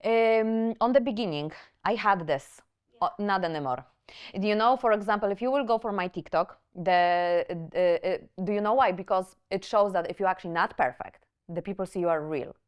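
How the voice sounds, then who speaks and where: A woman's voice: medium pitch, moderate pace, clean tone, highs cut off. A woman from Poland with a Polish accent, hosting a podcast as a nail educator, talking animatedly with frequent restarts. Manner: animated, restarts words, loose English grammar. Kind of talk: casual chat.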